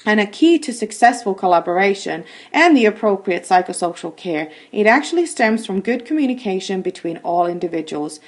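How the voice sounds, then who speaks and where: A middle-aged woman from Finland, a university lecturer giving a measured, explanatory recorded lecture. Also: mid-range voice, sing-song.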